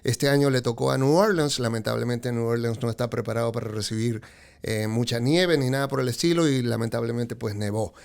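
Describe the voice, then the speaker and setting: Gravelly man telling his story. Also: medium pitch, fairly quick. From Peru. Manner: repeats "correcto", many fillers and restarts. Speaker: podcast guest, car dealership expert. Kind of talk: casual interview storytelling.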